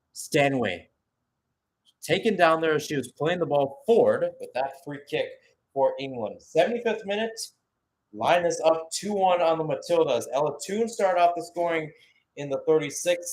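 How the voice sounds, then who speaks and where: A man's voice: fairly high pitch, darkish tone, poor audio. A man giving live radio-style football commentary, switching between short clipped calls and conversational, analytical asides.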